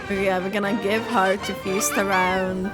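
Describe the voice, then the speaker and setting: A woman's voice: mid-range voice, level tone, normal speed, over music. A young woman giving casual, rambling live reaction commentary on a game, with lots of filler "like". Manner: rambling, heavy use of "like". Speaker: gaming reaction streamer.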